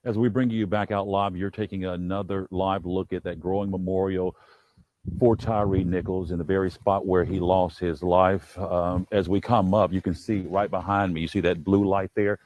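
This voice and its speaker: A man giving a live, unscripted television news report with halting restarts. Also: sits low, little pitch movement, a little mellow, dry recording, low-quality recording.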